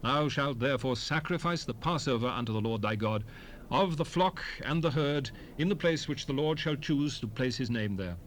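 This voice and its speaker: An actor playing a solemn lawgiver, proclaiming commandments in a measured, declamatory way for a dramatized King James Bible recording. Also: medium pitch, animated, close to an everyday voice. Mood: solemn, commanding. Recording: hissy 1970s stereo cassette rip.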